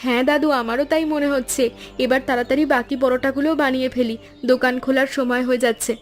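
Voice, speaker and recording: A woman voicing an earnest, expressive young granddaughter who cooks at a food stall in an animated story. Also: high-pitched, fast talker, soft background music, close to an everyday voice. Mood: eager. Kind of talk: Bengali animated story dialogue.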